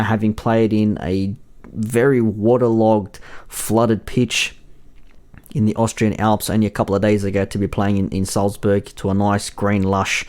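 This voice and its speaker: A young man hosting a solo football preview podcast, his voice hoarse and husky from yelling in a bar, rambling with lots of fillers and self-corrections. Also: lowish voice. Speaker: podcast host.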